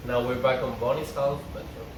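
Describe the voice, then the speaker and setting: A man's voice: medium pitch, steady tone, brisk, background noise. Man reading a script in an echoey room. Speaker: table read narrator and reader. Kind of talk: reading a TV script aloud, stage directions and parts. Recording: very echoey room, distant, music behind.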